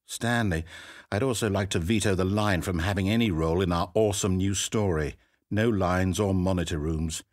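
A man's voice: low-pitched, moderate pace, natural voice. An actor playing a chatty, fussy, self-doubting male narrator who rambles with theatrical flair. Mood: eager, plotting. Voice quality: rambling, theatrical, wildly swinging delivery. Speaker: game narrator. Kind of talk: video game voice acting.

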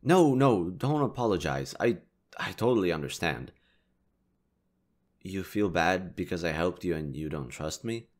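A man playing a kind, reassuring young stranger in an intimate audio roleplay, speaking gently, considerately and a little hesitantly. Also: low voice, expressive, measured pace. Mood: earnest, apologetic. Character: kind, reassuring young male stranger helping a distressed girl.

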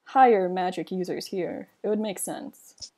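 A woman playing a hesitant magic guild member with halting speech in a fantasy game roleplay. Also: lowish voice, mellow. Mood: subdued.

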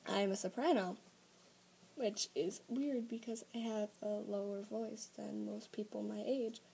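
A teenage girl chatting casually into a laptop webcam at night, soft-spoken, giggly and a little hesitant. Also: medium pitch, steady tone, unhurried, creaky, slight background noise, low-quality recording. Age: teens. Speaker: vlogger sharing facts. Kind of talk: casual late-night vlog. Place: small blanket fort indoors at night. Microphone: laptop webcam close up.

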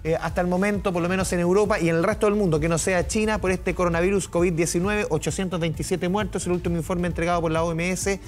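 A man anchors live television news in a high, light voice. He talks conversationally and circles back over his points as he goes. Faint music sits under the studio sound. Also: steady tone, quick pace, few pauses. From Chile. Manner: conversational, repeats and restates points.

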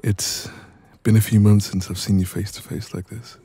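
A man playing a dominant, cocky young ex-boyfriend in an intimate audio roleplay, soft and breathy, smug and casual, close to the microphone. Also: lowish voice, flat delivery, moderate pace, dry recording, soft background music. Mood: teasing, subdued.

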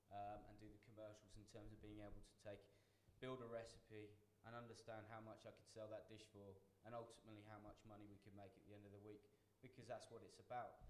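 A man's voice: on the low side, a little mellow. A man in his forties, a chef on a panel, talking earnestly to students with many restarts. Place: large function room with audience. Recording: echoey hall, faint room noise.

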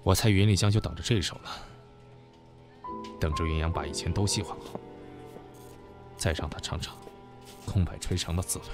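A man's voice: sits low, normal speed, long pauses, loud music behind the voice, close to an everyday voice. A man acting an insinuating, worldly senior businessman in an audio drama, with a knowing, needling manner. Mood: knowing, confiding.

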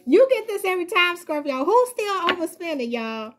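A woman's voice: very high-pitched, very animated, moderate pace, fairly bright tone. A young woman reading tarot cards to the camera from her living room couch. She talks loosely and chattily to her viewers, addressing them as y'all, and reads guidebook passages aloud. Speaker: tarot reader. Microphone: fixed camera a few feet away.

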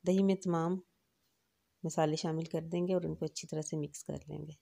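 A woman hosting a home cooking channel, giving calm, instructive step-by-step recipe narration. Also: sits low, normal speed, gravelly. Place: home kitchen.

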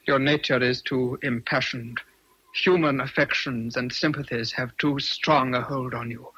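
A man's voice: mid-range voice, fairly even intonation, a little slow, bright, forceful tone, breathy edge, no room sound, highs cut off. An actor with an English accent playing a stern, zealous Victorian clergyman suitor in a radio drama, solemn and commanding. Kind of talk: BBC radio drama acting.

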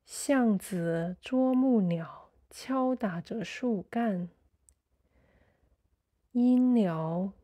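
Very slow, mellow woman guiding meditation. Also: mid-range voice. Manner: soothing, measured, short phrases with pauses. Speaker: guided meditation narrator. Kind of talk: slow sleep meditation narration.

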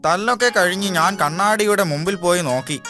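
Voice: medium pitch, a little fast, pushed and bright, faint music behind, natural voice. A performer voicing a mischievous, carefree young animal in a children's cartoon, who teases a friend. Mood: teasing. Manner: teasing, mocking.

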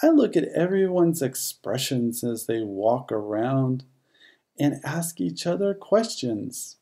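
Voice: mid-range voice, sing-song, slow, warm tone, heavily acted. A narrator voicing a sociable, curious Scottie dog in a rhyming children's storybook. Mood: content. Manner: lilting, rhyming first-person storytelling.

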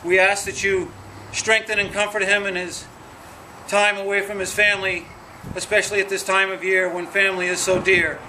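High-voiced man praying outdoors, far off. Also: flat delivery, sharp tone. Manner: earnest, measured praying. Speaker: prayer leader at a vigil. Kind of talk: spoken prayer. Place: outdoor gazebo at night. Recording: distant noisy outdoor recording.

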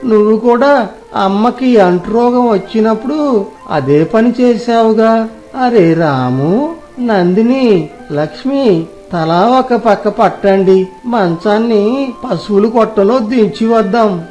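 A performer voicing a resigned but firm older father-in-law in a moral storybook family drama, speaking deliberately. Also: mid-range voice, lively intonation, mellow, faint music behind.

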